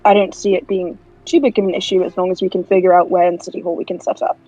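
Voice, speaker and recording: A woman's voice: fairly low pitch, level tone, fast talker, dark tone. A woman talking casually as a player in an improvised tabletop roleplay. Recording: dull lo-fi microphone sound.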